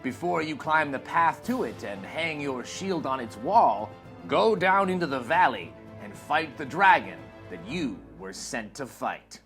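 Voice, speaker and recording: A man's voice: a little high, big pitch swings, a bit bright, faint music behind, heavily acted. Solemn old hermit voiced by a man. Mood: solemn, gentle. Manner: solemn, measured, storybook phrasing. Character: old hermit, wise solemn male mentor revealing a destiny.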